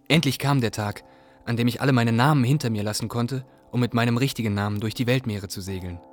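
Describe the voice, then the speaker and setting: A man's voice: medium pitch, close to an everyday voice. A man playing a hunted, resourceful young Iraqi fugitive, narrating earnestly and evenly in a literary radio drama.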